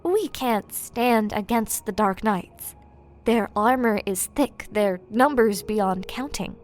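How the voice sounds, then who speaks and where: A man's voice: sits high, lively intonation, takes it slowly, soft background music, pitched above the performer's own voice. A man voicing a stern young woman ninja leader who speaks with firm command. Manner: commanding, reproachful.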